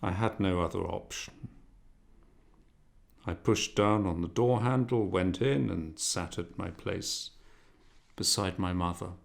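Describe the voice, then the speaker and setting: A man narrating a literary novel as an audiobook, in a measured, expressive, dramatic reading manner. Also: low voice, creaky.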